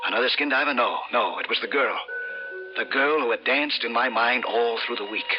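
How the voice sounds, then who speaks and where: Actor as brooding radio-drama diver, muffled, over music. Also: male voice, medium pitch, a little fast, lightly acted. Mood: haunted, tempted. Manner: hushed, musing, dramatic, repeating phrases. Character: brooding male skin diver, dreamy and obsessed, narrating. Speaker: narrator and lead actor. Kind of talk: 1950s radio suspense acting, first-person narration.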